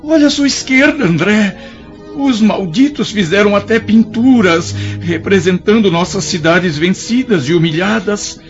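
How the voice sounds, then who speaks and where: Condemned rebel chief: high voice, slow theatrical lament, Brazilian accent, muffled radio-serial sound. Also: male voice. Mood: anguished lament. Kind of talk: radio drama acting in a historical serial. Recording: dull lo-fi radio drama, faint music.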